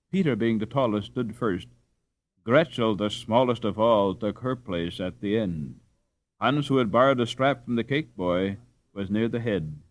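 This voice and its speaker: A man reading a classic children's story aloud as an audiobook narrator, with expressive, dramatic delivery. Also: mid-range voice. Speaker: audiobook reader.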